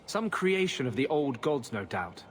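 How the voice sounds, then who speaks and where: A man's voice: mid-range voice, very animated, unhurried. A man playing a skeptical, bookish mage in a fantasy video game, articulate, emphatic and argumentative. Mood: scornful.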